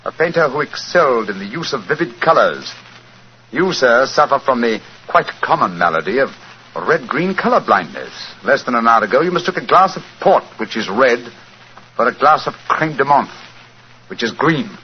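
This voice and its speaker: Male actor as British detective, precise, assured, formal, lively intonation, 1940s radio drama, muffled old recording. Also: medium pitch, moderate pace, slight background noise, natural voice. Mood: accusing, assured. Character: shrewd, composed English gentleman detective, coolly authoritative.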